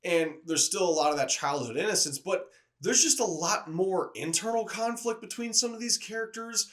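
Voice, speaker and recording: A man's voice, pitched a little higher than average, delivers a casual movie review. He talks conversationally, like a video reviewer addressing viewers. His delivery is enthusiastic and opinionated. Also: normal speed, fairly bright tone.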